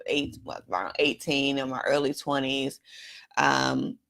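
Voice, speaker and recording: A woman from Washington, D.C. hosting a live stream, telling her own story in a candid, reflective way straight to viewers, her voice a little raspy. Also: rumbling low, measured pace, fairly bright tone. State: slightly raspy voice. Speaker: host.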